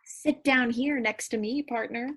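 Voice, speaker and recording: A brash, eager woman, played by an actress in a stage play reading. Her voice is high and husky. She talks with pushy, cheeky excitement, like an overgrown kid closing a deal. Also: a little slow, heavily acted.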